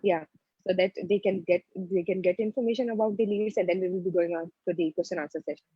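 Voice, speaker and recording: A woman's voice: lowish voice, steady tone, fast talker, breathy edge, no echo. A woman hosting a live admissions session who prompts her guest with short interjections over a video call. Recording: dull dry online call audio.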